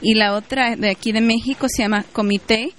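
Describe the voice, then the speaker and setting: A woman's voice: on the high side, fairly quick. Woman explaining steadily. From Mexico. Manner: steady, explanatory, with frequent "eh" fillers. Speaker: activist being interviewed. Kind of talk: interview answers.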